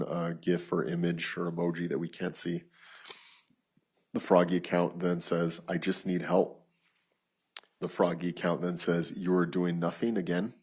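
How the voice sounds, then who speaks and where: A man testifying as a detective in court, reading written messages aloud in a flat, procedural, matter-of-fact way with a husky voice. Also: low voice. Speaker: lead detective testifying as witness.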